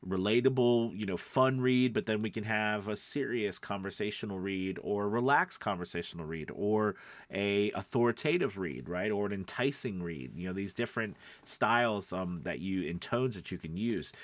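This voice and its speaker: Guy with a lowish voice n stuffy nose, chatty coach explaining stuff, really dry close sound. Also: expressive, normal speed, dry recording. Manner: conversational, emphatic, often checking in with the listener. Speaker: voice-over coach and podcast host. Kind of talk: informal instructional talk to camera.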